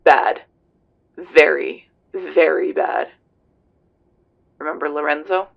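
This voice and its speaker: A man's voice: a little high, slow pace, gravelly. A man playing a tough, serious police investigator on a phone call in a roleplay audio drama, terse and grave. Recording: dull lo-fi phone-call sound.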